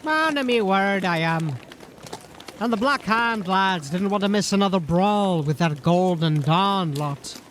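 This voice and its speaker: An actor playing a rough, cheeky rogue in a fantasy audio drama, talking in a colloquial, wryly complaining way. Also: male voice, high voice, a little slow, fairly bright tone, slight background noise. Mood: cheerful.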